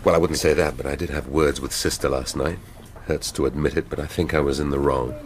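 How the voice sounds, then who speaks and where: An actor playing a wry, irritable police detective laid up in hospital, speaking drily in a radio drama. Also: male voice, very deep voice, fairly even intonation, fairly quick. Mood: dry, grumbling.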